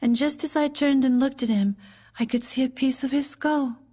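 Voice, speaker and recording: An actress playing a grieving widowed First Lady in an audio drama, halting and fragile as she recalls events. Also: sits high, steady tone, warm tone, slight background noise, lightly acted. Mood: dazed, halting. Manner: halting, fragile, dazed recollection.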